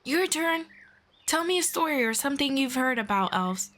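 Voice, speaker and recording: A woman playing a friendly, calm forest elf in an ASMR fantasy roleplay, speaking in a measured, playful, gently sarcastic way. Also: high voice. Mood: teasing, reflective. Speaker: roleplay voice performer.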